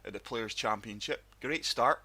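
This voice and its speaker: A man with a sore throat, hosting his gaming channel and narrating a golf game in calm, steady play-by-play. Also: mid-range voice, normal speed. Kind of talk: casual gameplay commentary.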